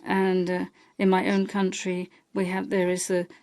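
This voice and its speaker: A woman interpreting a parliamentary speech live, halting, with many filler sounds and restarts. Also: fairly low pitch, completely flat delivery, a little mellow. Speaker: simultaneous interpreter.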